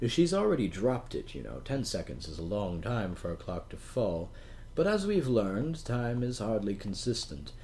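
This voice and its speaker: A man's voice: on the low side, huge pitch swings, moderate pace, a little mellow, a little hiss or hum. A man reading an audiobook in a theatrical, wry and conversational way, as if addressing the listener directly. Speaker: audiobook narrator. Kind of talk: dramatic first-person audiobook narration, conversational asides.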